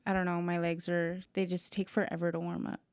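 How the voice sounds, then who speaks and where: A woman's voice: fairly low pitch, level tone, a little fast, darkish tone, smooth. Chatty woman guest, filler-heavy talk. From Mexican-American, grew up in the US. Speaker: guest, women's cycling team director. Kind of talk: casual podcast chat.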